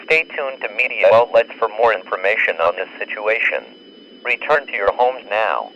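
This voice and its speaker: A man voicing a formal, measured emergency alert announcer in a grim, official broadcast. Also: fairly low pitch, lively intonation, sharp tone. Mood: grave, official. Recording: muffled, noisy emergency radio broadcast.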